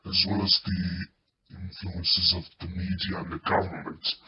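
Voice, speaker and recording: A man playing a sinister, aged master of a secret order in a radio play, with a whispery rasp and a slow, menacing, grandiose delivery. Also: low-pitched. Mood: gloating. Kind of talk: amateur sci-fi radio play acting. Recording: muffled, echoey, noisy, distant home recording.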